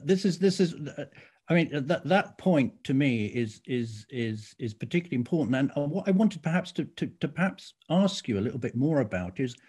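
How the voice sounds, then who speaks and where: Male interviewer, husky, lively pitch, halting questions. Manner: hesitant, repeats words when asking.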